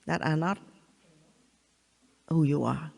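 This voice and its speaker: An older woman from Vietnam with a Vietnamese accent, giving a spiritual talk in a halting way, often repeating herself and checking in with listeners. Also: sits low, fairly even intonation, vocal fry, darkish tone. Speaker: spiritual teacher. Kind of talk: satsang talk. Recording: long hall reverb.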